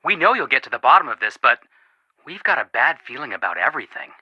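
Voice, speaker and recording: An actor playing an earnest, sympathetic young amateur detective in scripted video game dialogue. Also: male voice, medium pitch, big pitch swings, quick pace, sharp tone, slightly rough, no echo, dull audio, full character voice. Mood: concerned.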